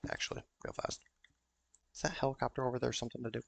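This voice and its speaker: A man's voice: medium pitch, little pitch movement, quick pace, vocal fry, a little hiss or hum. A man hosting a gaming video with casual, rambling, mildly profane unscripted commentary.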